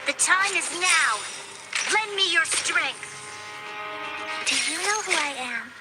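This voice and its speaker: Very high, theatrical game heroine. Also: female voice, animated, takes it slowly, over loud music, full character voice. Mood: grand, confident. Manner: theatrical, confident. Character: game heroines calling theatrical battle lines. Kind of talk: English game battle voice lines.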